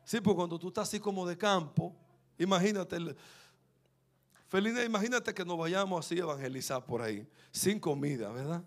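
Fervent preacher, high-pitched. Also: male voice, lively intonation, brisk. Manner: fervent, rising to emphatic peaks, repeats phrases. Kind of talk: sermon.

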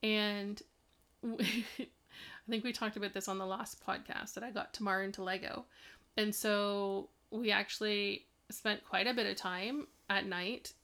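A woman's voice: mid-range voice, fairly even intonation, a little slow, sharp tone. A woman in a mid-range voice co-hosting a podcast from home. She offers advice on parenting stress, rambling casually with plenty of fillers. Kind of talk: casual chat, giving tips.

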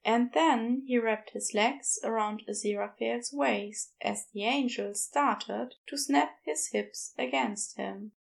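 Female audiobook narrator, higher-pitched with vocal fry, very unhurried story reading. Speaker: fan fiction audiobook reader.

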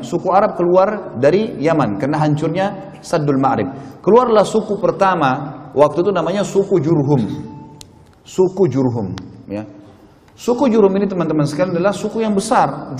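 A man's voice: mid-range voice, a little mellow. A man giving a religious history lecture to a congregation as an Islamic preacher, explaining step by step and often checking that listeners follow. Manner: explanatory, addresses listeners, checks understanding with short tags. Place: mosque prayer hall. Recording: reverberant hall with long echo.

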